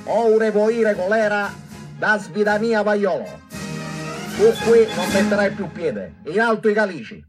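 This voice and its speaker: An actor playing a pompous, bombastic small-town official, orating grandly in a satirical radio drama with mangled flowery rhetoric. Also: male voice, high-pitched, expressive, unhurried, music in the background. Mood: exultant.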